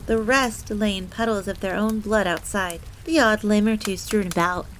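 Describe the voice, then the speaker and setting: A woman voicing an excitable, devoted fox maid who tells her story theatrically in the third person. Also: mid-range voice, moderate pace, a little hiss or hum, natural voice, poor audio. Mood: devoted, proud. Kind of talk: in-character storytelling audio roleplay. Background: background ambience.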